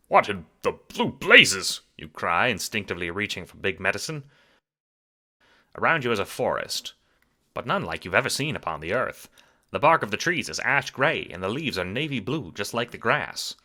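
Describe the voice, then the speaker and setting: Animated, forceful man narrating a story. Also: lowish voice, normal speed. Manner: theatrical, wry, expressive. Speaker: narrator and writer of an audio drama. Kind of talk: dramatic scripted second-person narration.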